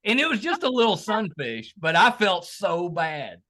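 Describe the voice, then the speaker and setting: Male interviewer, casual and folksy, bright tone, very varied intonation. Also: sits high, huge pitch swings, normal speed. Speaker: podcast interviewer, host.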